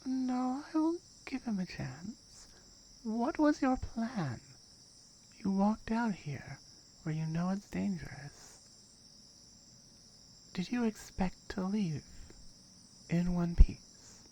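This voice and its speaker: Woman doing a bossy tiger girl, asmr roleplay, firm n threatening, mellow low voice, crickets and noise behind. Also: very animated, low-quality recording. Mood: stern, threatening. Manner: firm, deliberate, menacing. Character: stern dominant tiger girl guarding her jungle home. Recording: clear background noise with chirping crickets.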